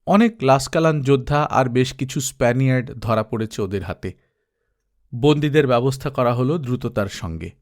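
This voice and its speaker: A man reading an adventure novel aloud as narrator, steady and dramatic. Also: medium pitch. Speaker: audiobook narrator. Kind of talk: storybook reading.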